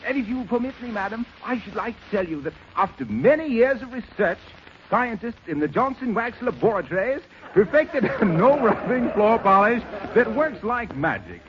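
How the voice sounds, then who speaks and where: An actor playing a deferential, formal butler with a polished announcer's delivery in 1930s radio style. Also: male voice, sits high, very animated, normal speed. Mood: smooth selling. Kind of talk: 1930s radio comedy acting and sponsor pitch. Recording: muffled, hissy 1930s radio recording.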